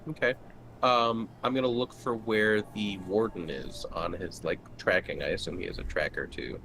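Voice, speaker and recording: A man's voice: on the low side, steady tone, normal speed, creaky, fairly bright tone, slight background noise, low-quality recording. A man playing a tabletop roleplaying game, chatting casually as one of the players.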